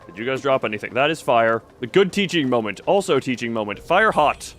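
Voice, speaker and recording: A man's voice: medium pitch, very animated, brisk, a bit bright, slight background noise. A man giving casual gameplay commentary to a live chat, rambling and thinking aloud as he plays. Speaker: video game streamer.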